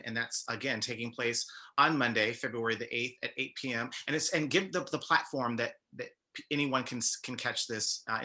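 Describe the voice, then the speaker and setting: A man's voice: mid-range voice, pushed and bright. A man hosting a talk show, putting questions to guests in a conversational broadcast style. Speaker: talk show host, interviewer.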